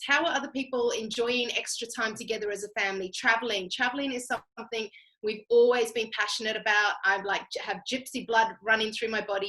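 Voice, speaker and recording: Australian female, fairly high and sharp-edged, conversational testimonial delivery with plenty of emphasis. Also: low-quality recording. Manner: chatty, emphatic, addresses listeners directly. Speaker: guest speaker telling personal story.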